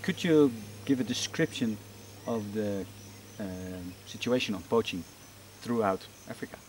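A man's voice: fairly low pitch, expressive, measured pace, darkish tone, a little hiss or hum. A man interviewing a guest outdoors, asking polite, plain questions. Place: outdoors in the bush.

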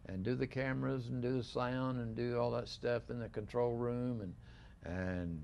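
An older man hosting a relaxed studio interview, talking in a rambling, halting way with many restarts. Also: mid-range voice, gravelly, highs cut off, a little hiss or hum. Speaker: host. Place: small living-room studio set.

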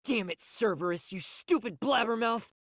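A woman's voice: fairly high pitch, lively intonation, normal speed, no echo, studio quality. A woman voicing a brash young zombie boy in an anime-style video game, blunt and cocky. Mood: defiant.

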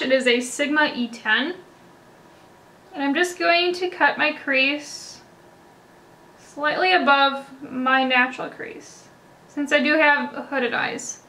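A young woman with a high, bright voice talks through a makeup tutorial. She is a little raspy after hiccups and chatty, with casual fillers everywhere, recorded indoors close to the camera. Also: poor audio. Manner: chatty, many "like" and "kinda" fillers. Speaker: beauty vlogger. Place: indoors before a plain backdrop.

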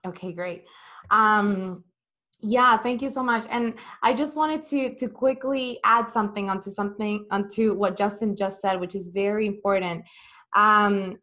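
A young woman from El Salvador speaks in a webinar over a video call. She talks earnestly and fills her pauses with ums, at a medium pitch. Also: pushed and bright. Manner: earnest, with fillers. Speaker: presenter. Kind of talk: webinar presentation.

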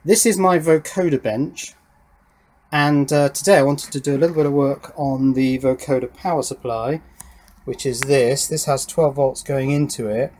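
A man's voice: mid-range voice, fairly even intonation, normal speed, a little mellow, a little hiss or hum. Man thinking aloud at the workbench. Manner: informal, thinking aloud while working. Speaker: electronics hobbyist presenting his workshop project. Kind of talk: casual hands-on workbench narration. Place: small wooden garden shed workshop.

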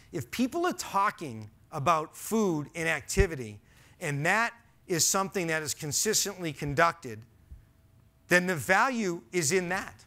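A man's voice: a little high, bright, forceful tone. A man in his forties with a Boston accent, giving an earnest, emphatic talk on a stage with a headset mic. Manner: earnest, emphatic, gestures while speaking. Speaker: conference speaker. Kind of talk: stage presentation. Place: large dark auditorium stage.

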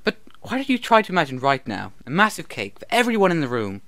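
Support group leader played by a man, patient and placating, slightly high bright voice, comedic sketch delivery. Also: wildly swooping pitch, fast, heavily acted. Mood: encouraging. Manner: encouraging, soothing, placating. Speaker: group therapy facilitator.

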